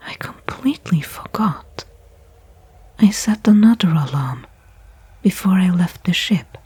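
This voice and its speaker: A woman playing a weary stranded astronaut in a whispered ASMR sci-fi roleplay, breathy and hushed, thinking aloud with pauses between thoughts. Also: fairly low pitch, no room sound, heavily acted. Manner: slow, soliloquizing, pausing between thoughts. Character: weary stranded female astronaut, anxious, faintly hopeful.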